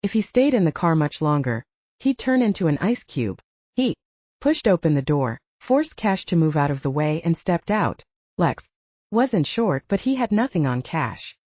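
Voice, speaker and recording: Mellow, husky woman narrating fiction. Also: fairly low pitch, big pitch swings, moderate pace, no echo, highs cut off. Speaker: audiobook narrator.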